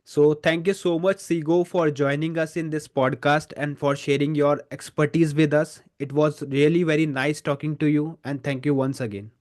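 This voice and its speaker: A man's voice: fairly high pitch, steady tone, moderate pace, few pauses, a little mellow. A man hosting a podcast interview, asking questions politely and thanking his guest often. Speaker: podcast host.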